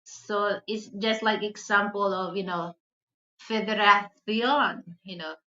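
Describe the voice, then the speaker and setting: A woman with a Filipino accent, a native Hiligaynon speaker, chatting casually to viewers as a vlog host and often repeating her words haltingly. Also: mid-range voice, steady tone, bright, forceful tone. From the Philippines. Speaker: video channel host. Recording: dull, roomy, echoey sound.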